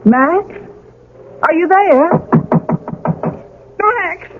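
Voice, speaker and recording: A woman's voice: extremely high pitch, lively intonation, very slow, music in the background, heavily acted. A woman playing a worried, insistent woman calling out to a man in a radio drama. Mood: alarmed, urgent. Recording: tinny, band-limited old radio with noise.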